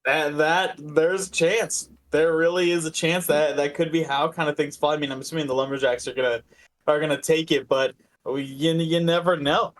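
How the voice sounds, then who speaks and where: A man's voice: a little high, steady tone, normal speed. A man co-hosting a sports podcast, giving casual analysis and often repeating words as he thinks. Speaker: podcast co-host.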